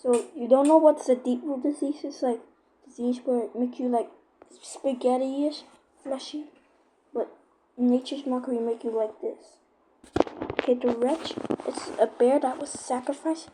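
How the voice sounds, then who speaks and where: A female speaker giving a casual, informal explanation, often halting and searching for words. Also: high voice, steady tone, dark tone, slightly rough, rough recording. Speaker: YouTuber explaining horror lore.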